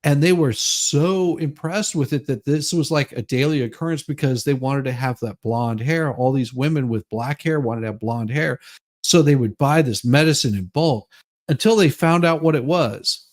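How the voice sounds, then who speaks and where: A man's voice: medium pitch, normal speed. A man doing a comedic dramatic reading with rambling, repetitive, mock-outraged banter. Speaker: co-reader in a dramatic reading stream.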